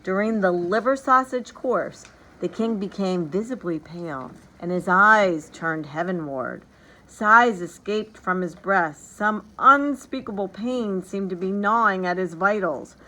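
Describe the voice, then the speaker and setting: A woman narrating a storybook aloud in an expressive, dramatic and deliberate way. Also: mid-range voice, rough recording. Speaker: teacher reading a storybook aloud.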